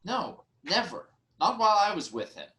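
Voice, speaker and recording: Man playing wronged nobleman, slightly high voice. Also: sing-song, moderate pace, fairly bright tone. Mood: reasoning, defensive. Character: wronged, reasoning nobleman, brother-in-law of the king. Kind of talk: dramatic Greek tragedy reading.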